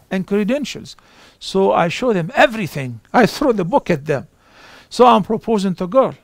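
A middle-aged man calmly answering callers' questions on a live call-in show, speaking outdoors in a garden on a lapel mic. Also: high voice, no echo. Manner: calm, explanatory, gestures while talking.

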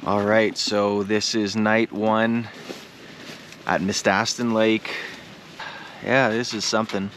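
A man's voice: lowish voice, little pitch movement, slow pace, pauses often, a bit bright. A man in his thirties chatting casually to a camera in the wild, laid-back and slangy. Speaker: outdoor vlogger. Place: outdoors in the wilderness and inside a tent. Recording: noisy outdoor camera audio.

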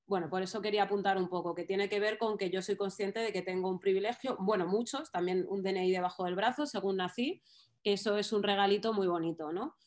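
A woman in her thirties, an author guest, talking thoughtfully on a video call from a small room at home, with many pauses and fillers. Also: medium pitch, a little mellow. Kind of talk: casual chat on a video call. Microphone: laptop webcam on a video call.

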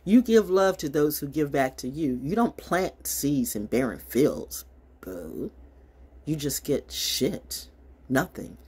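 An older woman vlogger ranting casually to camera in a brash, theatrical, confrontational way, with a husky voice, recorded on a phone in a small room. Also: deep voice, very animated, slow, mellow. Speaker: online vlogger. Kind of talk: casual rant to camera with card reading. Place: small wood-panelled room indoors. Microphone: phone at arm's length.

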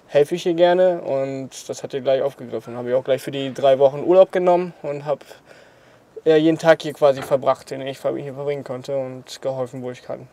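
A man's voice: mid-range voice, dark tone. A young man in his twenties from Portugal, interviewed outdoors, talking casually and laughing often between phrases. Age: 20s. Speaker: interviewee, choreographer. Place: outdoors in front of a graffiti wall.

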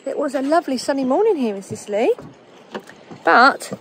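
A woman, chatting to camera on a small sailboat, excitable and talkative. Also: high-pitched, fairly quick. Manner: chatty, excitable, swears when thrilled. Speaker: sailing vlogger. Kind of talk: casual vlog chat to camera. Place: small sailboat and dinghy outdoors on the water. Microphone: handheld phone at arm's length. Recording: loud wind and water noise.

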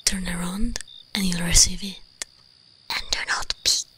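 A woman voicing an imperious, teasing vampire queen in a breathy ASMR roleplay whisper, commanding, condescending and seductive. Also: on the low side, fairly even intonation, a little slow, bright, forceful tone, slight background noise.

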